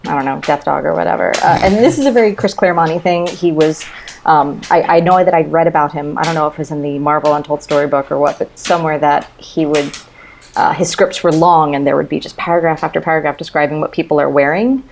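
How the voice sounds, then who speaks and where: A woman's voice: low-pitched, fairly even intonation, quick pace. A woman chatting casually as a guest in a book discussion, with a blunt, comically complaining manner. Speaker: podcast guest. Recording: clearly noisy recording with clicks.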